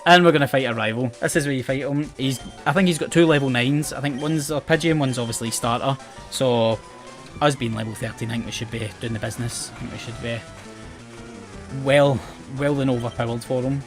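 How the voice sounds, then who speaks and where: A man's voice: medium pitch, a little fast. A young man with a west coast Scottish accent, chatting casually about the game he is playing and about his life, rambling and self-mocking. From Scotland. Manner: chatty, rambling, self-mocking, often restarts words and laughs. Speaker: gaming channel host. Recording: game music playing faintly behind.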